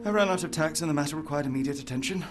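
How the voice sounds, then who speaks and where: A man's voice: on the high side, rapid-fire, over music, natural voice. A man playing a brilliant, sardonic British detective in a video game, speaking in a precise, pompous manner. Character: brilliant, haughty young male detective, impatient and sardonic. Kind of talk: video game cutscene acting.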